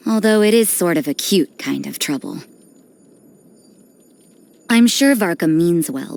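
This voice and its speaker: An actress voicing an aloof, cynical young nun in a video game, speaking in a cool, dry, detached and unhurried manner. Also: female voice, deep voice, darkish tone. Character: aloof, cynical, worldly nun who does dirty work. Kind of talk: video game character voice lines. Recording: background music under the voice.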